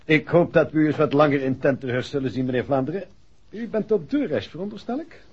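A man's voice: on the high side, lively intonation, fast, slightly rough. An actor playing a genial, obliging bank manager in a 1960s radio drama, chatty and hesitant, repeating himself as he thinks. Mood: genial, musing. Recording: dull, muffled 1960s radio recording.

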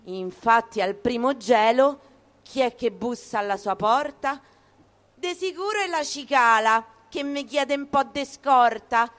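A woman's voice: on the high side, a little slow, a bit bright. A woman with a Roman accent reciting a rhyming fable in a rhythmic storytelling style. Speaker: poetry reader.

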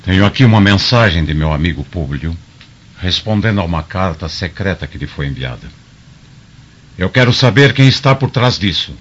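A man acting in a radio drama as a stern, proud Roman senator and father, with an authoritative, measured delivery. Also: deep voice, lively intonation. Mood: stern, accusing. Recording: dull lo-fi radio drama recording.

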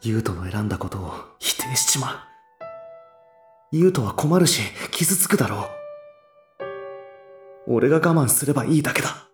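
A man's voice: mid-range voice, expressive, normal speed, long pauses, music in the background, natural voice. A man playing a brash, earnest, conflicted young man in a Japanese voice drama, speaking bluntly and casually. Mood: guarded, subdued. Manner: rough, blunt casual speech.

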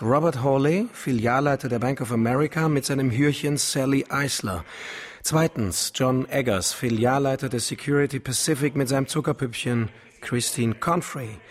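Sardonischer Gauner , von einem Mann gespielt, mittlere Stimmlage. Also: male voice, mid-range voice, natural voice. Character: cynical ex-convict car thief planning bank heists. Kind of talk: German radio crime drama acting.

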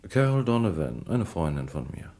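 A slippery shyster lawyer in a crime radio drama. The low, raspy voice swings widely in pitch, with a theatrical, mocking, wheedling delivery. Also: male voice, very animated, normal speed, a little mellow, exaggerated voice. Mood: evasive.

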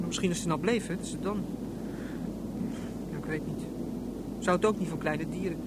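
A woman's voice: on the low side, normal speed, heavy background noise, close to an everyday voice. A woman voicing a blunt, matter-of-fact young boy chattering in a science-fiction radio play.

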